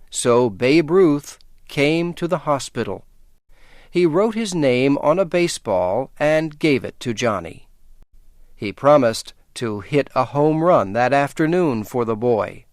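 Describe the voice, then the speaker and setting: An American man reading scripted educational narration in a measured, carefully enunciated way for English learners. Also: mid-range voice, no room sound, studio quality.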